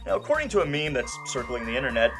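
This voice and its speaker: A man's voice: mid-range voice, wildly swooping pitch, rapid-fire, fairly bright tone, soft background music. A man hosting and narrating a scripted film review in a wry, conversational, emphatic way. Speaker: film reviewer, show host.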